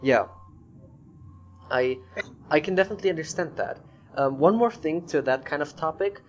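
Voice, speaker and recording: A man's voice: a little high, normal speed. A man from Germany, casually interviewing a guest as a podcast host. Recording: dull lo-fi audio, faint noise.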